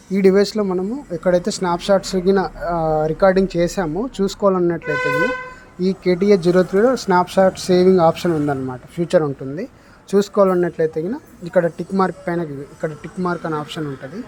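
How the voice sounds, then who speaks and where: A man's voice: high voice, fairly quick, darkish tone. A man giving an unscripted, explanatory product demonstration, mixing in English technical terms. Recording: clear background noise, room ambience.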